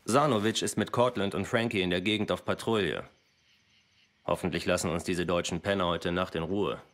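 Knarrigen, tiefen, misstrauischen Soldaten. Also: male voice, low-pitched, fairly even intonation, fairly quick, fairly bright tone. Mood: grumbling. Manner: probing, blunt. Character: cynical, accusing, superstitious American soldier. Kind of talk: German video game dub acting.

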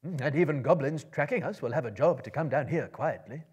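A commanding old wizard from a fantasy storybook, as a male reader plays him. He is urgent and emphatic, with a husky voice thrown high and wide-swinging. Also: lively intonation, a little fast. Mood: wry. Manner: loud, emphatic calls.

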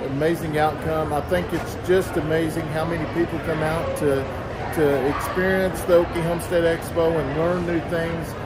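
A man giving casual vlog narration while walking around an expo, filming himself on a phone at arm's length. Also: on the high side. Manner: upbeat, chatty walkaround. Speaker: farm vlogger. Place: large indoor expo barn. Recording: loud background noise in expo hall.

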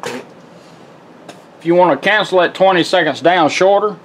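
Folksy man, higher-pitched voice. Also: a little slow, fairly bright tone, slight background noise. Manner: folksy, plainspoken. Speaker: product demonstrator, radio restorer. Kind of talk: casual hands-on product demonstration. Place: indoor workshop bench.

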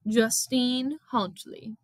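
A woman voicing an eerie, calm and commanding adult woman in a storybook, speaking slowly and deliberately. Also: on the high side, no room sound, pitched above the performer's own voice. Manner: slow, deliberate.

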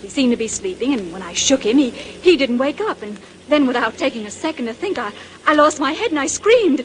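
An actress playing an anguished, vengeful young stewardess in a 1930s radio drama, speaking in a halting, emotional way. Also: female voice, sits high, animated, low-quality recording. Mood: nervous, shaken. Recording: hissy old 1930s radio recording.

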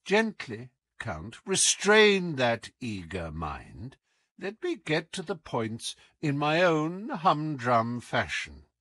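A man voicing a sharp, commanding detective in an audiobook, coolly taunting, with deliberate, teasing emphasis. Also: mid-range voice, very animated, dry recording, exaggerated voice. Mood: coolly pressing. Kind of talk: audiobook character acting.

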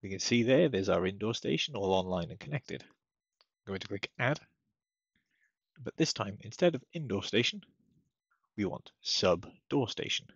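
A man with a low, husky voice talks through software steps casually, as a technical support presenter. The sound is dull and slightly lo-fi, recorded at a computer. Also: animated, normal speed, long pauses, no room sound. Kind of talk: casual step-by-step software tutorial narration. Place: indoors at a computer, screen-recording voice-over. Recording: dull lo-fi close dry microphone.

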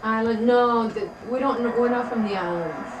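A woman's voice: a little high, moderate pace, noisy background. A woman with Portuguese roots chatting in a friendly, agreeable way with her boyfriend's family. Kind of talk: casual family chat.

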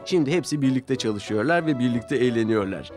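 A man's voice: medium pitch, animated, a little fast, darkish tone. A man narrating a scripted children's story as its storyteller. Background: music under the voice.

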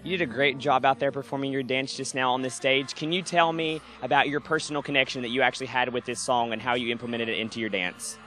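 A man conducting quick backstage interviews with performers at a convention, asking brisk questions and padding with fillers. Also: mid-range voice, steady tone, pushed and bright, faint music behind.

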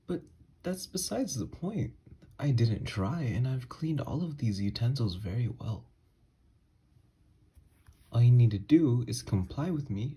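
Man acting as a husky, unhinged kidnapper scientist. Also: mid-range voice, full character voice. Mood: coaxing, hurt. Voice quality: soft, husky, breathy. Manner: coaxing, gentle but menacing, halting. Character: obsessed, unhinged male kidnapper scientist, tender and menacing. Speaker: ASMR audio roleplay voice actor. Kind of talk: ASMR horror roleplay monologue, partly whispered.

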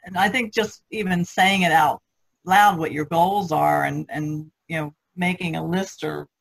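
A woman's voice: on the low side, unhurried, gravelly, breathy edge, low-quality recording. An older woman chatting casually as a participant in an artists' group on an online call. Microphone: online video conference call.

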